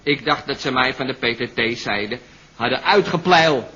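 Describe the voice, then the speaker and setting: An actor playing a slangy, rambling young pop musician in an old radio comedy. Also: male voice, medium pitch, normal speed, pushed and bright, a little hiss or hum, lightly acted. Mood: cocky, boastful. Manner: rambling, slangy, full of tags like "you know". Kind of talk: 1970s Dutch radio comedy acting. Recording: muffled old radio recording.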